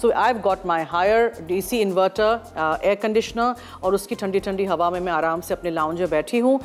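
A woman's voice: medium pitch, a little slow, soft background music. Chatty Pakistani woman hosting a cooking show. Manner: chatty, switches freely into English. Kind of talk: cooking demonstration, mixing Urdu and English. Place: TV studio kitchen set.

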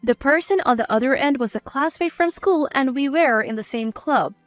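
A woman's voice: sits high, moderate pace, dry recording, soft background music. A woman reading a scripted first-person story as its narrator.

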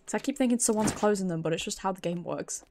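A woman's voice: mid-range voice, fast talker, darkish tone, a little hiss or hum. A British woman, a streamer giving chatty, giggly commentary while playing a video game in a casual livestream.

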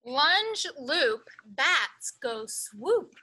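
A woman's voice: sits high, very animated, very slow, sharp tone. A young woman reads a picture book aloud to small children outdoors, sing-song and carefully enunciated, with emphasis on the rhyming words. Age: young adult. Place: outdoors in a leafy backyard.

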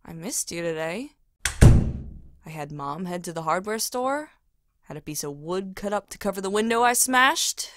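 A woman's voice: on the low side, lively intonation, measured pace, very noisy. A woman acting in an audio drama as a caring, self-doubting young woman, in a natural style. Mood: gentle, sad. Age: young adult.

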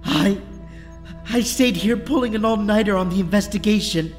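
A man's voice: high voice, normal speed, close to an everyday voice. An actor playing a shell-shocked young male superhero in an audio drama. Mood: shocked, tearful. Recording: long echoing reverb, music.

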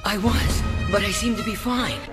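A man's voice: high-pitched, moderate pace, over music, lightly acted. A man voicing a bewildered, earnest young male anime hero, confused and urgent. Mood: dazed, puzzled.

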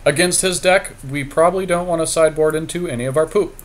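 A man's voice: a little high, quick pace, slight background noise. A man giving casual, slangy game commentary with some swearing, as a podcast host playing along. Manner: slangy, profane.

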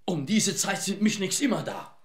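An actor playing an awkward, snooping school headmaster in a children's radio play, hemming and hesitant. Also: male voice, high voice, big pitch swings, normal speed, reverberant, a little hiss or hum. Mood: furtive, awkward.

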